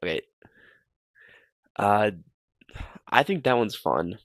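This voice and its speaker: Young male reaction host, low register, dry close sound, rambling and repetitive delivery. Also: lively intonation, takes it slowly, a bit bright, no echo. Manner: rambling, repeats phrases. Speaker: host ranking horror videos. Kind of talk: casual reaction commentary.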